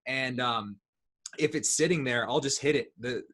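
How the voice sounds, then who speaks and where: A man's voice: medium pitch, moderate pace. 30 yr old guy hosting, laid back, rambly, lots of um and like. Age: 30s. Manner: relaxed, rambling, filler-heavy. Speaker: podcast host. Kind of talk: casual podcast conversation.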